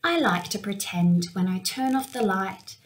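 A young woman reading a picture book aloud for children in an expressive storytelling manner. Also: mid-range voice, unhurried. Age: young adult. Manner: expressive, with acted-out sound words. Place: small indoor room with window blinds.

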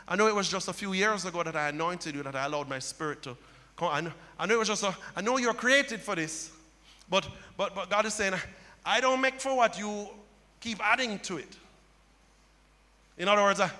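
A middle-aged male pastor preaching a sermon on a church stage into a handheld mic, building to loud impassioned cries. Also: high voice. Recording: long hall reverb.

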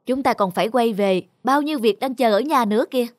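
A woman's voice: on the high side, quick pace, no echo, studio quality, natural voice. A woman voicing a brusque, schedule-obsessed husband on holiday in a cartoon dub, with music underneath. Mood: insistent. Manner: brusque, insistent. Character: impatient, schedule-obsessed adult husband, bossy tourist.

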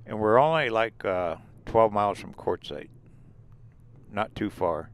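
Gravelly-voiced man narrating a desert drive. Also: fairly low pitch, measured pace. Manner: relaxed, rambling, points out sights as he goes. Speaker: RV travel vlogger. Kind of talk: casual narrated drive and drone tour. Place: inside a vehicle driving desert roads.

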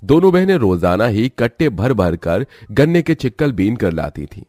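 Low-voiced male storyteller. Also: very animated, normal speed, a little mellow, dry recording, studio quality, soft background music. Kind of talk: scripted moral story narration.

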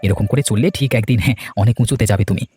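A man's voice: fairly low pitch, very fast, warm tone, slightly rough, dry recording. A man voicing a supportive, plain-spoken village husband in a cartoon, chatty and encouraging. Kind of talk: cartoon dubbing.

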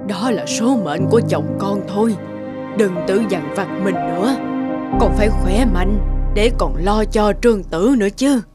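Lady doing an old mom in a cartoon, gentle and consoling, reasons calmly, loud music under her. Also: mid-range voice, measured pace, lightly acted. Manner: soothing, reasoning. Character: elderly mother, gentle, consoling her grieving daughter. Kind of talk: animated drama dialogue.

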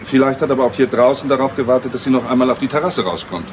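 An actor playing a sober, probing police detective in a German radio crime drama, questioning in a measured, matter-of-fact way. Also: male voice, mid-range voice, background noise, close to an everyday voice.

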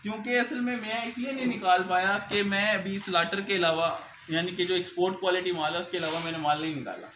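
A young man answers interview questions as a farmer. He explains with repeated filler phrases. The recording is echoey and clearly noisy, made in an open shed. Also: high-pitched, fairly even intonation, quick pace, hardly any pauses. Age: young adult. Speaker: cattle farmer being interviewed. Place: open-sided cattle shed on a farm.

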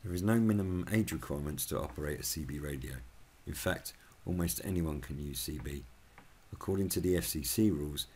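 Very deep-voiced man reading a scripted explainer. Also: darkish tone, husky. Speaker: YouTube channel host.

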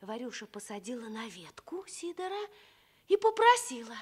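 A woman's voice: fairly high pitch, very animated, normal speed, husky. A woman narrating a scripted fairy tale as a storyteller.

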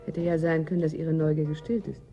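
A woman's voice: low voice, flat delivery, brisk, warm tone. An actress playing a guarded, weary woman in a radio play, reserved and wry. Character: guarded, weary woman holding her own. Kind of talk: German radio play acting. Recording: dull band-limited radio recording. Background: faint music.

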